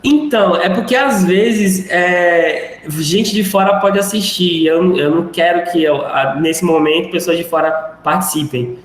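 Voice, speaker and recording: A man's voice: sits high, unhurried, hardly any pauses. A male teacher wrapping up a live online class in a chatty, rambling way. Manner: rambling, chatty, self-deprecating. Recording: very echoey room, faint noise.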